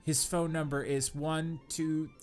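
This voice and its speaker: A man co-hosting a comedy podcast, reading bad fanfiction aloud in a deadpan voice that keeps cracking into laughter. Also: a little high, slow, faint music behind. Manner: reads deadpan, breaks into laughter.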